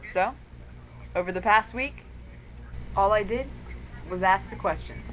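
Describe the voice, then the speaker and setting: A woman's voice: medium pitch, measured pace, fairly bright tone, a little hiss or hum, low-quality recording. Woman narrating in a plain, wry tone. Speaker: interviewer and narrator. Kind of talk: scripted narration. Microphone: webcam microphone.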